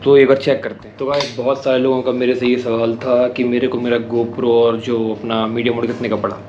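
A man's voice: medium pitch, little pitch movement, a little fast, a little mellow, muffled recording, a little hiss or hum. A young man casually explaining his camera setup step by step in a vlog, recorded on an action camera. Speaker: motovlogger. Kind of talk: casual gear-review vlog. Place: small home room indoors, later riding a motorbike on city streets. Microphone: action camera with attached mic.

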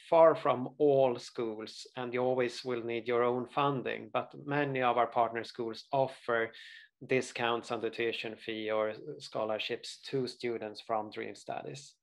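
Swedish man presenting a webinar, gravelly. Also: medium pitch. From Sweden. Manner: earnest, frequent uh fillers and restarts. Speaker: study abroad advisor. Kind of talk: webinar presentation over slides.

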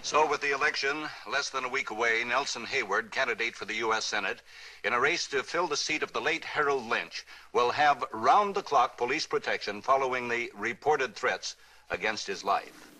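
An actor playing a matter-of-fact television reporter delivering a news report in an audio drama. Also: male voice, mid-range voice, normal speed, bright, forceful tone, low-quality recording.

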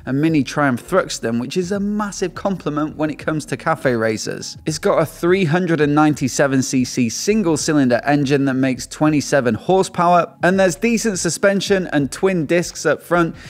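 A man's voice: a little high, normal speed, few pauses. A man, hosting an upbeat scripted motorcycle review and sponsor read at a studio desk mic. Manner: upbeat, lively scoring delivery. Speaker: motorcycle channel host. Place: small indoor studio with shelves. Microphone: desk mic on stand in front of him.

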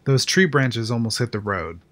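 Dude doing a wary chainsmoking park engineer, guarded, flat matter-of-fact tone. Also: male voice, medium pitch, moderate pace, close to an everyday voice. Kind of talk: fan audio drama acting.

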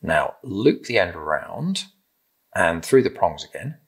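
A middle-aged British man gently and encouragingly demonstrating a craft step by step in simple instructions. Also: low-pitched, big pitch swings, unhurried, husky. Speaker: craft presenter. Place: indoor room with timber-framed walls, at a table.

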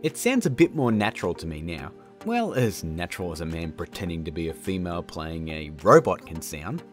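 A man's voice: low-pitched, very animated, a little fast. A man in his thirties hosting a video tutorial in a casual, jokey style. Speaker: host of a video tutorial show. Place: small indoor studio room. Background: faint music.

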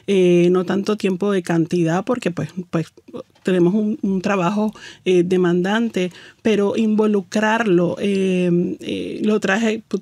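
A middle-aged woman speaking as a podcast guest, reflective and hesitant, pausing often. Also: on the low side, a little mellow, dry recording. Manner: hesitant, many "eh" fillers, reflective. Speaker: TV general producer, podcast guest. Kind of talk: podcast interview in Spanish.

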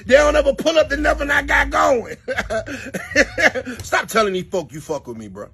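A man vlogger ranting to camera in a mocking, defiant way, with a raspy voice. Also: extremely high pitch, very animated, unhurried, bright, forceful tone, faint music behind. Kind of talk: outro rant to camera.

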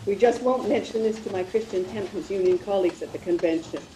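An older woman playing a prim, sensible Edwardian lady who counsels her friend in a radio mystery, with genteel, measured diction. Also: medium pitch, lightly acted. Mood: coyly genteel. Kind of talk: radio mystery play acting. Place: room at home, video call. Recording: roomy, slightly noisy video call.

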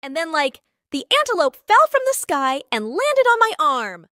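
A woman's voice: high voice, very animated, normal speed, sharp tone, no room sound, heavily acted. A woman voicing a chatty, flustered hospital patient in an amateur cartoon. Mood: exasperated.

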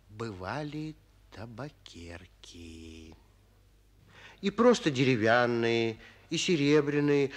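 An actor narrating a 1940s children's radio play as a kindly storyteller, expressively addressing young listeners. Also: male voice, fairly high pitch.

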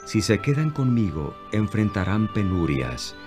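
Calm holy teacher, low voice, widely varied intonation, solemn and authoritative, animated Bible film. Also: male voice, over music. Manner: solemn, measured, declarative.

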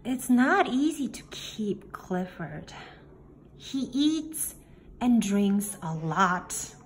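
Female story reader for young kids, very unhurried and deliberate, recorded indoors with slight background noise. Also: mid-range voice, big pitch swings. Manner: deliberate, simple phrasing with pauses between phrases. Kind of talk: picture book read-aloud for young children. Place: indoor room, book filmed on a table. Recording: faint room noise behind the voice.

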